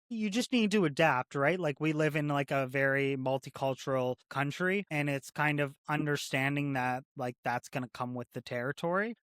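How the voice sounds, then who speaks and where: A man in his thirties from Canada talks through his work as a corrections officer in a loose, casual way, circling back with "like" and "right?". His voice sits a little high for a man. The recording is dry and close, with no room sound. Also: a bit bright, dry recording. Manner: rambling, many "like" and "right?" fillers. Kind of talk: casual interview conversation.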